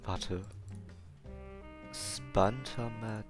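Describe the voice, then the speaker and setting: A man's voice: on the low side, extremely slow, music in the background. A man with a German accent giving casual let's play commentary, muttering asides to himself. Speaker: gamer.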